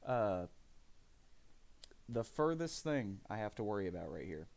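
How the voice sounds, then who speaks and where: A man live streaming from his home desk, his voice raspy from phlegm, rambling casually with many fillers and restarts. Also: fairly low pitch, sing-song, measured pace, darkish tone. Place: desk corner of a home living room.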